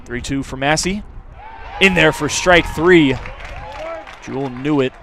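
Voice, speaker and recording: A man's voice: on the high side, measured pace, sharp tone, a faint crowd. A man calling live baseball play-by-play for radio in a conversational way, filling the gaps with player background. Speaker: play-by-play announcer. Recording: clear outdoor background noise. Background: outdoor ballpark ambience.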